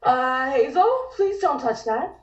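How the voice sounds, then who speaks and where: A woman plays a jittery, apologetic young woman with a high, swooping voice. She speaks haltingly in a script read, muffled and distant in an echoey room. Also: big pitch swings, heavily acted. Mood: alarmed. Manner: hesitant, halting. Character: nervous, apologetic, easily startled young woman named Margaret. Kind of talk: comic script table read.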